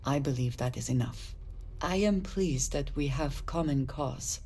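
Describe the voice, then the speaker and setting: A woman voicing a guarded, solemn space explorer from a hard upbringing in a video game, speaking formally and deliberately. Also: a bass voice, warm tone, husky, heavily acted. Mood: sincere. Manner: earnest, formal diction, deliberate. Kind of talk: measured dramatic game dialogue.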